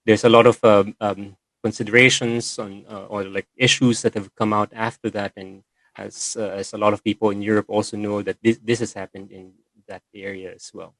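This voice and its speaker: Guy hosting a video call, lowish voice, lots of uhs and ums, dry sound. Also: flat delivery, moderate pace, fairly bright tone, no echo, rough recording. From Philippines. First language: Filipino. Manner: hesitant, many fillers and restarts. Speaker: session host and moderator. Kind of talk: online meeting presentation.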